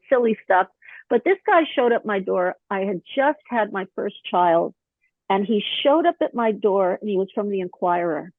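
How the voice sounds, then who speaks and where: A slightly hoarse woman chatting as an interview guest, telling stories animatedly with lots of fillers. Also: medium pitch, no room sound. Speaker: podcast guest, author and actor. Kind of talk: casual interview chat. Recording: dry, thin phone-line sound.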